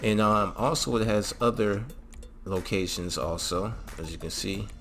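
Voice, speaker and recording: A man's voice: on the low side, a little slow, creaky, fairly bright tone, faint music behind. A man narrating a casual step-by-step game tutorial, instructing and often checking in with the listener. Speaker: host of a game mod tutorial.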